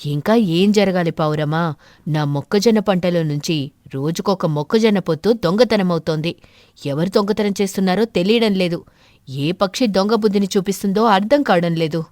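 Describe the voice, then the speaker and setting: A woman voicing an earnest, puzzled crow farmer in an animated fable, troubled and questioning. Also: fairly low pitch, normal speed, no echo, clean studio sound, pitched below the performer's own voice, close to an everyday voice.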